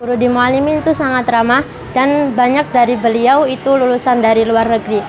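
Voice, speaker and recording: A woman's voice: a little high, fairly even intonation, a little fast. A young woman student steadily listing her reasons and her teachers' backgrounds. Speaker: madrasah student. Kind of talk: testimonial to camera. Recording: noisy background.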